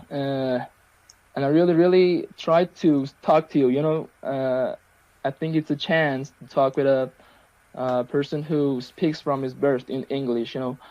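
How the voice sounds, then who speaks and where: Man, Persian native, practising English on a call, halting, fillers, slightly high, warm dull sound. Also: no room sound. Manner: halting, many fillers and restarts. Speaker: English learner. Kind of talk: conversation practice on a video call. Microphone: video call. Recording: dull lo-fi video call audio.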